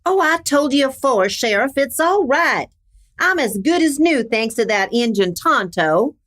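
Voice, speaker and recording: A woman plays a spirited frontier rancher in a radio Western, pitched high with lively swings. She is impatient and plain-spoken, with a folksy American way of talking. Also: a little slow. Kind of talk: old-time Western radio drama acting.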